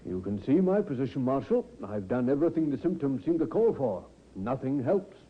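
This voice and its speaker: Paternal doctor, brisk, clipped, instructive, mid-pitched, tinny old radio recording. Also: male voice, big pitch swings, breathy edge. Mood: troubled. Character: brisk, paternal male family doctor, reassuring. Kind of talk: 1950s radio acting.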